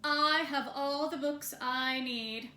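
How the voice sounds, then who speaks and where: A pompous, grumpy storybook bear, played by a woman, declaiming slowly and imperiously. The voice is bright and forceful, with a bellowing, huffy air, in a roomy space with echo. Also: sits high, fairly even intonation, full character voice. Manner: imperious, bellowing, formal wording. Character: loud grumpy pickle-loving bear, male, huffy and pompous. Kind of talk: children's storybook character voice. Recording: roomy echo, faint background noise.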